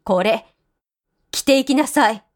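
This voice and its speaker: A woman's voice: fairly high pitch, unhurried, a little hiss or hum, natural voice. A woman acting a strict, anxious mother in a voice drama, talking in blunt everyday speech. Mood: exasperated, firm.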